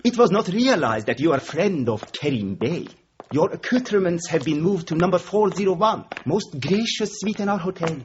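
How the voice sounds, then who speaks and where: High, fawning hotel manager. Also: male voice, lively intonation, normal speed, muffled recording, a little hiss or hum. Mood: apologetic, fawning. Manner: servile, clipped broken English. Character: obsequious, fussy male Turkish hotel manager, fawning and insistent. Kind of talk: radio drama acting.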